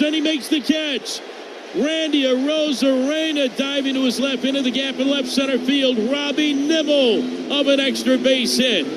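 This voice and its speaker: A man calling live baseball play-by-play for radio, lifting his voice on the action and settling back between pitches. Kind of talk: live radio sports commentary. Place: open-air ballpark broadcast booth. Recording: clear outdoor ballpark noise behind.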